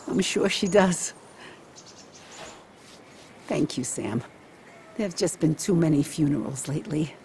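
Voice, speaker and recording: An actress playing a bereaved, sorrowful shop owner in a dramatic video game. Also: female voice, sits low, lively intonation, slow, pauses often, darkish tone, a little hiss or hum, heavily acted. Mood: sad, grateful.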